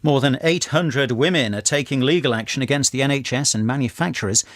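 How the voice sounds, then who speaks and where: A man's voice: mid-range voice, fairly quick, dry recording, studio quality. A man reading a scripted radio news bulletin as the newsreader.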